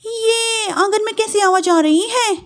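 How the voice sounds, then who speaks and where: A woman's voice: extremely high pitch, unhurried, a bit bright, voice pushed up. A woman voicing an earnest young city-bred daughter-in-law in a story cartoon, polite but easily startled and exasperated. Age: young adult. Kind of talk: moral-story cartoon voice acting.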